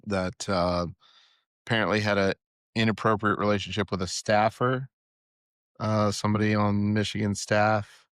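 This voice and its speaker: Deep-voiced easygoing man co-host. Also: flat delivery, a bit bright, clean tone, no echo, studio quality. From Arkansas. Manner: easygoing, halting with restarts. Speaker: podcast co-host. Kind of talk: casual sports chat.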